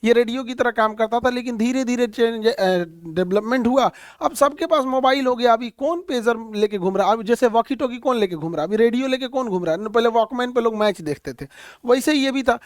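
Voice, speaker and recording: A man's voice: extremely high pitch, a little fast, no echo, studio quality. A man teaching a current-affairs lesson in a plain explaining manner, pointing things out on a map and using many fillers. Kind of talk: explainer lecture.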